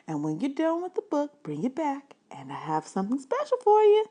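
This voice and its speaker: A woman voicing a smiling, kindly librarian in a storybook, chatting to a boy in a gushing, encouraging, drawn-out way. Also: high-pitched, huge pitch swings, warm tone, pitched above the performer's own voice. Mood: warm, delighted.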